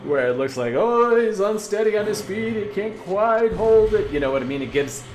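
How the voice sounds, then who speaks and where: A man hosting a reaction video, chatting casually and musingly in halting asides as he comments on a cartoon. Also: high-pitched, animated, faint music behind.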